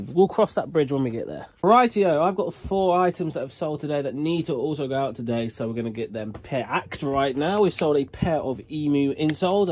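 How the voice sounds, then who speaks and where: A man's voice: medium pitch, slight background noise. A British man in his thirties with a congested head cold, chatting casually to a handheld camera at home about reselling. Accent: British English. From United Kingdom. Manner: chatty, upbeat patter with slang. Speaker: eBay reseller vlogger. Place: home storage room and parked car, indoors. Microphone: handheld camera at arm's length.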